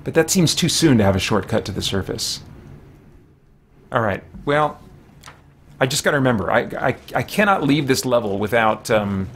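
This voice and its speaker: A man's voice: medium pitch, animated, moderate pace, pauses often, a little hiss or hum. A man in his forties doing casual livestream gameplay commentary, playfully talking to himself, his characters and viewers. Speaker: game streamer and host. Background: game music.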